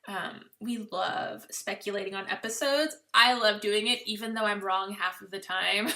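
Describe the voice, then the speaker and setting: Bright-voiced chatty woman podcast host. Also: medium pitch. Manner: chatty, upbeat, laughs often. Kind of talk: casual fan podcast chat.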